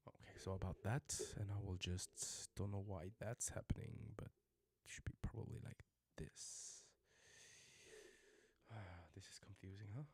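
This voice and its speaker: A man's voice: deep voice, steady tone, a little slow, a little mellow, slightly rough. A man teaching a casual livestream lesson, chatting with viewers and repeating phrases for learners. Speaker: Korean language teacher.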